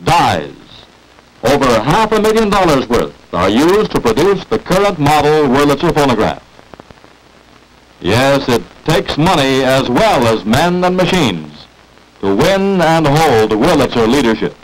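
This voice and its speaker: An American man reading scripted narration for a 1940s promotional industrial film, with emphatic, salesmanlike, deliberate phrasing, on an old film soundtrack. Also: medium pitch, big pitch swings, poor audio.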